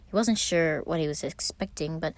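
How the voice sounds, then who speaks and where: A woman's voice: deep voice, normal speed, no room sound. A woman reading a scripted story aloud as its narrator. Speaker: fan fiction reader.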